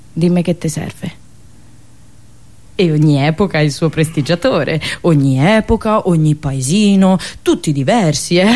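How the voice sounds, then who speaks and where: A woman's voice: fairly low pitch, darkish tone. Theatrical Italian woman storyteller. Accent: central Italian. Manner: theatrical, ironic, with dialect asides. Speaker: radio host and storyteller. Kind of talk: theatrical satirical monologue storytelling.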